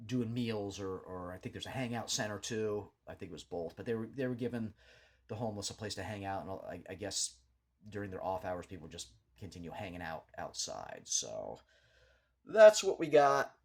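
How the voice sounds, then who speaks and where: Low-voiced man giving an unscripted local rundown. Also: very animated, moderate pace, darkish tone. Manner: hesitant, rambling, matter-of-fact. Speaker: local news vlogger. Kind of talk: unscripted commentary over a map, recapping local news.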